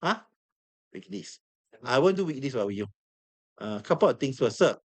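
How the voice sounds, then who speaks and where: A man with a Singaporean English accent teaching a live trading class. He is hoarse from losing his voice, repeats himself often and throws questions at the room. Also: medium pitch, sing-song, normal speed. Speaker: trading instructor.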